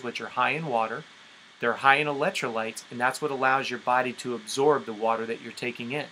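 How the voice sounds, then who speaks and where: A man's voice: medium pitch, pushed and bright, slight background noise, low-quality recording. A man giving an instructional coaching talk as a sports coach, direct and emphatic, explaining things with rhetorical questions. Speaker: endurance sports coach leading a conference call.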